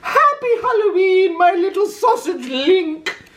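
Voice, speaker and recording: Woman putting on a high, gushing mother dog. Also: big pitch swings, heavily acted. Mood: delighted, cooing. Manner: cooing, drawn-out, gushing delivery. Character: doting, singsong mother dog fussing over her son. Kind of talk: children's storybook character voice.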